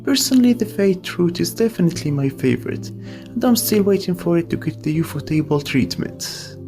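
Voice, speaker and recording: Higher-voiced man narrating, slightly creaky. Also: animated, moderate pace, over music. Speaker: video host and narrator. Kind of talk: scripted video essay narration with casual asides.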